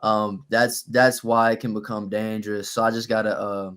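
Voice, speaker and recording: A young man with a naturally raspy, slightly low voice speaks as a podcast guest. He talks in a laid-back, unhurried way, pausing often to gather his thoughts. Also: level tone, a bit bright. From New Orleans, USA. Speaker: guest rapper. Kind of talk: casual podcast interview.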